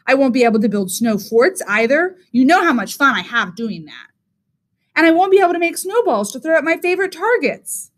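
Grumpy storybook rabbit played by a woman: male, fretful complainer, high and animated. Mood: grumbling. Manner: complaining, drawn-out lead-ins.

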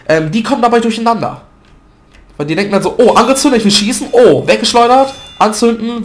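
A man with a stuffy nose from a cold, chatting loosely through gameplay commentary with fillers and self-corrections, recorded at a desk mic at home. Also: high-pitched. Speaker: gaming video commentator.

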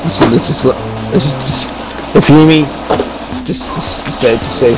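Male, medium pitch, halting improvised roleplay lines with repeated phrases, clear music underneath. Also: low-quality recording. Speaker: player in an online game roleplay. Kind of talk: improvised in-character chat in a game roleplay.

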